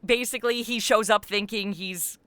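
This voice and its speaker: A woman speaking conversationally as an interview guest, explaining her creative work in an animated, expansive way. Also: medium pitch, moderate pace, clear, resonant tone, dry recording.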